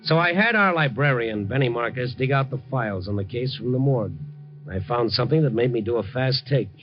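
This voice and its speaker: A man plays a brisk, streetwise American newspaper reporter in an old radio drama. His medium-pitched voice is wry, punchy and dramatic. The recording is muffled, with music at times. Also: animated, close to an everyday voice. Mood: tense narration. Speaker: lead actor and narrator. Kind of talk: 1950s radio drama, hard-boiled narration.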